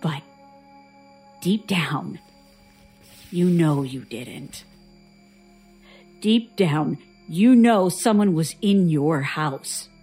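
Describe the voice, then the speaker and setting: Haunted woman, slow halting delivery, hushed suspense, horror radio play, music behind. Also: sits low, lively intonation, breathy edge, full character voice. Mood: haunted, tense. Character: haunted woman recounting frightening childhood memories to a doctor. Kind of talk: audio drama acting.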